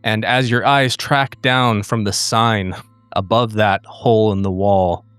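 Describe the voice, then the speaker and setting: Slow, smooth-voiced male game master. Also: lowish voice, fairly even intonation, fairly bright tone, no room sound, faint music behind. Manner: deliberate, broken phrases. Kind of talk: suspenseful narration in a tabletop horror game.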